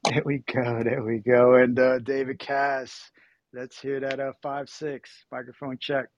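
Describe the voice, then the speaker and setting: A man from Puerto Rico hosting a casual moderated chat, relaxed and rambling, often correcting himself. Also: mid-range voice, a little slow, no room sound, highs cut off. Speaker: host.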